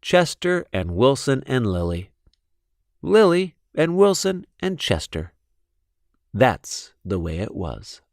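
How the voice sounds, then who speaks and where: A man reading a children's storybook in an expressive narrating style. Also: mid-range voice, slow pace, creaky, no room sound, clean studio sound. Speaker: storybook reader.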